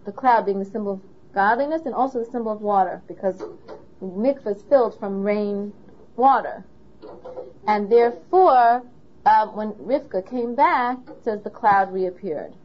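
A woman's voice: mid-range voice, normal speed, slight background noise. Woman, Torah teacher, patient questioning delivery, dull recording. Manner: asks the listener questions, patient. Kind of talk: explaining a Torah lesson.

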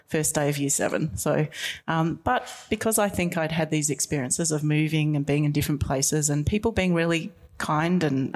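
A middle-aged woman with a notably low, deep-set voice, giving reflective answers as a radio guest. She speaks thoughtfully, pausing often with fillers and restarting sentences as she gathers her ideas. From England. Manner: thoughtful, with frequent ums and restarts. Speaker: guest on a school radio show. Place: school radio studio.

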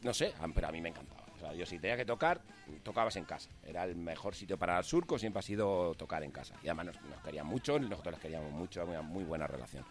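Fast-talking, deep-voiced Madrid man. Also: expressive. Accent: Madrid Spanish. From Spain, Madrid. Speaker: musician, band member interviewed. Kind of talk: casual interview chat.

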